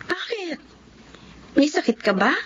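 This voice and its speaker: Gentle high-voiced daughter. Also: female voice, sing-song, slow, exaggerated voice. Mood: concerned. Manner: soft-hearted, plaintive. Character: gentle, dutiful, wounded young daughter and elder sister. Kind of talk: Tagalog radio drama acting. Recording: dull lo-fi radio audio, faint noise.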